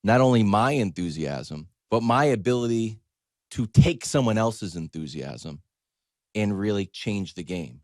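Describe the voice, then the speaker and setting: Animated low-voiced man telling stories. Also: measured pace, no room sound, clean studio sound. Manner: emphatic, animated, acts out quoted exchanges. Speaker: sports talk show host. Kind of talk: conversational comedic storytelling monologue.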